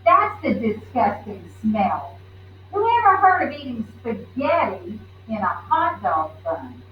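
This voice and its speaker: A woman's voice: medium pitch, big pitch swings, slow pace. A woman voicing a mean, mocking schoolboy bully in a children's storybook, sneering and disgusted. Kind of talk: children's storybook read-aloud. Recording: roomy, distant, muffled, faint noise.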